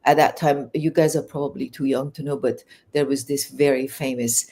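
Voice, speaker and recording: A middle-aged woman from Iran, a native Farsi speaker whose accent mixes Iranian and English tones, telling her life story as an interview guest in an earnest, animated way. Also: very deep voice, normal speed. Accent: Iranian, learned English in England.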